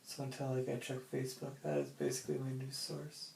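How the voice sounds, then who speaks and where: A young adult man recording a casual, rambling audio diary, musing in a halting, hesitant way. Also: mid-range voice, completely flat delivery, creaky, a little mellow. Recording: very echoey room, faint noise.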